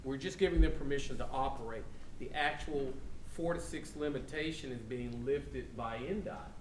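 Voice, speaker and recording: A man's voice: medium pitch, moderate pace, a little hiss or hum. A man, a commissioner, questioning a proposal and playing devil's advocate at a public meeting. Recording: echoey, distant room mic.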